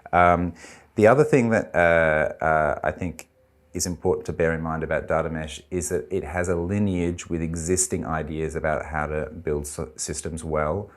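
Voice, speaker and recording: An Australian man, a technology head, explaining ideas in a measured, thoughtful way during a conference interview. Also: very deep voice, fairly even intonation.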